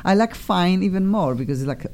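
A woman's voice: on the low side, animated, a little fast, a little mellow. A woman with a Polish accent hosting a talk radio show in a casual, effusive, chatty way. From Poland. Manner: effusive, gushing, informal.

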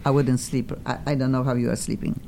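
A woman's voice: extremely low pitch, fast talker, slight background noise. Effusive woman hosting a chat show. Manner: effusive, excitable, rambling. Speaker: talk show host.

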